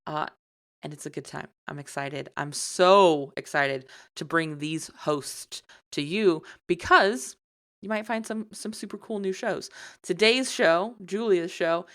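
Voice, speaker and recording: An American woman hosts a casual podcast chat with a bright, sharp edge to her voice. She sounds upbeat and keen, reacting quickly to her guest. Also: lowish voice. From United States. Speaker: podcast host.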